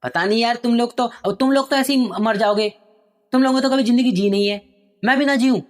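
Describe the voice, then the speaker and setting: A man voicing an angry, entitled young son who shouts at his parents in outbursts with a raised voice. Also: extremely high pitch, voice pushed up. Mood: indignant. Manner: indignant, raised voice, rapid outbursts.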